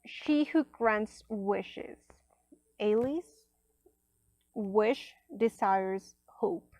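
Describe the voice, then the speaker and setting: Woman, spanish first language, slow chatty deck review, lots of likes and soft almost whispered bits, dry close sound. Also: mid-range voice, no room sound, low-quality recording. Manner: chatty, many fillers, drops to soft near-whispers. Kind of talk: casual unboxing review. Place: indoors at a table.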